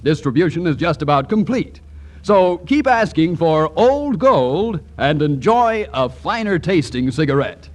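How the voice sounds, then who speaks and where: A man's voice: on the high side, sing-song, measured pace, a little hiss or hum. A man reading a radio commercial as an announcer in polished 1940s advertising style. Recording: 1940s radio broadcast recording.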